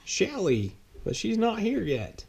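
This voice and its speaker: Guy doing a brisk proper office boss lady in a kids book, higher pitched voice. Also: huge pitch swings, gravelly, a little mellow, breathy edge, full character voice. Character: brisk, proper bespectacled woman supervisor.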